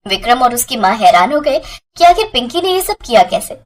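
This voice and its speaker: A woman's voice: fairly high pitch, sing-song, a little fast. A woman narrating a scripted family moral story.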